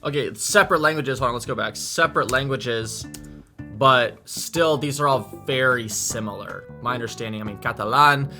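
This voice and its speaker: A man chats through a reaction video, thinking aloud and correcting himself mid-sentence. His pitch sits in the middle range, and he is recorded close to the mic. Clear guitar music plays underneath. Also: moderate pace, pushed and bright. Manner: thinking aloud, restarts and hedges. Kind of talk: casual reaction commentary.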